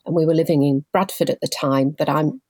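A woman in her forties from Leeds, a guest telling her story in a measured, thoughtful way with frequent pauses. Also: low-pitched, fairly even intonation, darkish tone, no echo, studio quality. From Leeds, England. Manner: measured and thoughtful, pausing often. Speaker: guest, patient involvement manager. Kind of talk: reflective personal storytelling.

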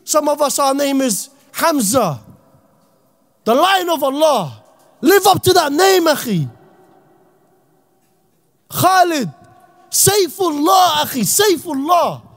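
A man from Iraq, giving an impassioned, slang-heavy talk to young people as an Islamic speaker and often shouting. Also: very high-pitched, very animated, slow, long pauses. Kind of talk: impassioned motivational talk to youth.

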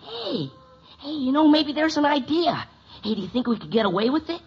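An actor's performance of a boyish, awkward, excitable schoolboy who blurts and stammers, in a 1950s radio sitcom. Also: high-pitched, moderate pace. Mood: scheming. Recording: dull, faintly noisy old radio recording.

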